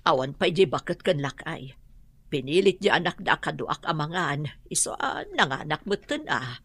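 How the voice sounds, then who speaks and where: A husky, slightly low older housemaid in a radio drama, played by a woman. She sounds humble and long-suffering, a mother used to putting up with much. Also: big pitch swings, moderate pace, a bit bright, no room sound, full character voice. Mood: weary. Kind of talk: Filipino radio drama acting in Ilocano.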